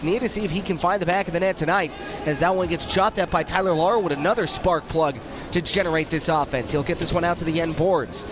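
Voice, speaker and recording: Nasal, high-voiced male hockey announcer. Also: noisy background. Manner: rapid running commentary, rising when shots come. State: nasal from a head cold. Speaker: play-by-play announcer. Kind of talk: hockey play-by-play commentary.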